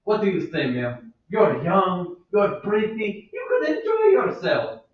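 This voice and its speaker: A man's voice: high voice, huge pitch swings, unhurried, full character voice. A man playing a fussy old servant who coaxes and scolds his mistress. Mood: coaxing. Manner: coaxing, scolding, keeps saying ma'am. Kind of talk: amateur play reading. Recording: echoey room, far from mic, dull.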